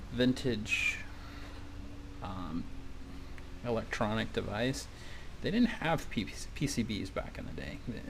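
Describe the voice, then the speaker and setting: A man's voice: medium pitch, vocal fry, slight background noise, low-quality recording. A man giving a casual, unscripted walkthrough at a workbench, hesitant and thinking aloud as he examines things. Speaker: hobbyist electronics restorer presenting a video. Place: indoors at a workbench.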